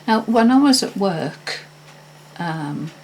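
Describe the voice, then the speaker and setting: A woman's voice: medium pitch, lively intonation, takes it slowly, slight background noise, low-quality recording. An older woman telling a personal story in a casual, hesitant, meandering way with frequent restarts. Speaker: vlogger.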